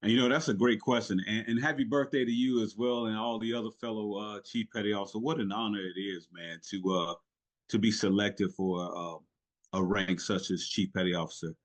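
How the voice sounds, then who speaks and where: A man's voice: fairly low pitch, fairly even intonation, fairly quick. A middle-aged man, a retired Navy chief, talking earnestly as a podcast guest, with many fillers and restarts. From South Carolina, raised in Italy. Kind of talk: casual podcast interview.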